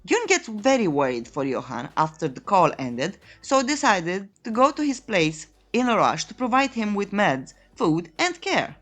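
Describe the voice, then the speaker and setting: A woman's voice: medium pitch, very animated, normal speed, few pauses. A woman narrating a scripted comedic story recap in a playful, tongue-in-cheek, theatrical storytelling manner. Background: background music.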